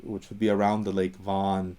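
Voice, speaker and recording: A man's voice: deep voice, monotone, normal speed, warm tone, clean tone. A man from Iran explaining language history in casual talk, thinking aloud with fillers and restarts and lingering over the words he defines. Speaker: educator on Assyrian language and identity.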